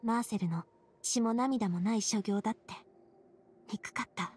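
An actress playing a gentle, earnest young lady who leads others, in a Japanese video game. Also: on the high side, measured pace, slightly rough. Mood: gentle, sad.

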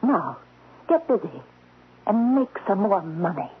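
A woman's voice: mid-range voice, sing-song, a little slow. An actress playing a commanding, mocking queen of thieves, a magical statue, in a radio mystery drama. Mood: imperious. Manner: commanding, taunting. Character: imperious, mocking statue of an Indian thief queen. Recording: muffled, noisy old radio broadcast.

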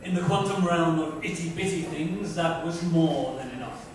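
High-pitched man narrator, echoey room. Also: steady tone, moderate pace. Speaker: reader. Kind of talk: dramatic scripted book narration. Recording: echoey room, distant, noisy.

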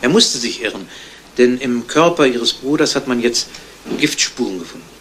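Male actor as dry police detective, politely persistent, mid-pitched, retro radio crime play with faint noise. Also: animated, moderate pace, a bit bright, close to an everyday voice. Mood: matter-of-fact. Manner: matter-of-fact, probing questions. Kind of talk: 1970s German radio crime drama acting.

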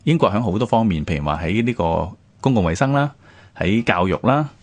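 Low male voice, hong kong cantonese, chatty history radio host, talks fast. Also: expressive, no room sound. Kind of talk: conversational history discussion.

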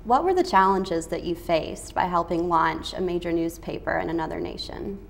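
Young woman interviewer, low voice. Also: lively intonation, moderate pace, gravelly, a little hiss or hum. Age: young adult. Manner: polite, brief affirmations. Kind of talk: studio interview questions. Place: TV studio set. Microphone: lapel.

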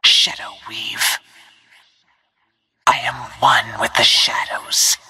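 An actress playing a stealthy, menacing female assassin in a video game, speaking in a hushed, husky voice with cold confidence and slow, deliberate delivery. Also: a bass voice, fairly even intonation, whispered, heavily acted. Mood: cold, determined. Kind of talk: video game combat voice lines.

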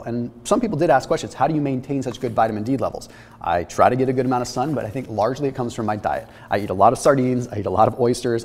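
A man in his thirties talks straight to the camera, walking through his lab results with energetic, emphatic explanation. His voice sits at an ordinary middle pitch, and a lingering reverb trails his words around the kitchen studio set. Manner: energetic, explanatory, emphatic, gesturing while holding papers. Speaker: health and fitness channel host.

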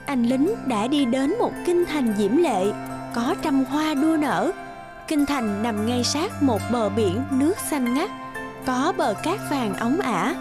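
High-voiced woman narrating a fairy tale. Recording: prominent music bed behind the voice.

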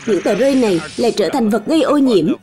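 A man's voice: very high-pitched, animated, fast talker, warm tone. A man voicing a patient, caring father in a dubbed children's cartoon, explaining and reassuring as he talks. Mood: thoughtful.

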